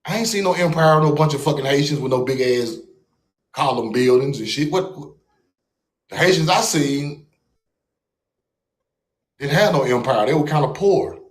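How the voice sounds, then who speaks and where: A man's voice: fairly high pitch, moderate pace, long pauses. A man from the United States hosting a livestream, ranting in a mocking, confrontational and profane way. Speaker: livestream host. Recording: roomy echo.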